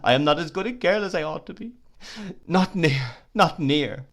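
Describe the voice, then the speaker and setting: A man's voice: mid-range voice, lively intonation, normal speed, lightly acted. A man reading aloud, voicing a tearful, remorseful young woman who sobs and pleads. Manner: sobbing, pleading.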